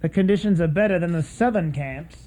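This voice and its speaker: A man's voice: a little high, brisk, dark tone, natural voice, rough recording. An actor playing a folksy, matter-of-fact townsman in a radio drama.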